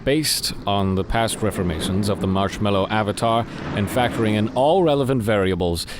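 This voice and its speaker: Scholarly male scientist acted by a man, lowish voice, dry pedantic deadpan, audio drama, clear street noise. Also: normal speed, lightly acted. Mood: dry, analytical. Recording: noisy street traffic ambience.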